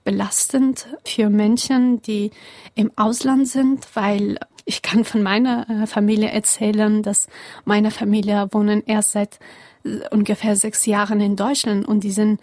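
A young woman from Afghanistan answering interview questions earnestly in halting, German, with many pauses and fillers. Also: mid-range voice, flat delivery, a little mellow, dry recording, clean studio sound.

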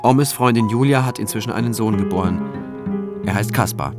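A man's voice: lowish voice, a little fast, natural voice. A male actor plays a shaken military prosecutor in a radio drama. He tells of his ordeal in a war zone as a man who lived through it. Music or the noise of battle runs behind his words. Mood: grave, reflective. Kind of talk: German radio drama, first-person narration and dialogue.

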